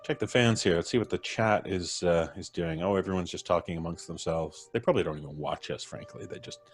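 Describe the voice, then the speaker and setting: A man's voice: sits low, brisk, faint music behind. A man with a North American accent hosting a casual live stream with jovial, playful banter. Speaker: host.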